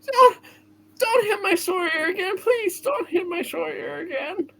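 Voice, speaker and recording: A woman's voice: extremely high pitch, expressive, unhurried, a bit bright, pitched above the performer's own voice, exaggerated voice. A woman voicing an earnest, frightened young errand boy who stammers and pleads in an amateur radio play reading. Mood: tearful pleading.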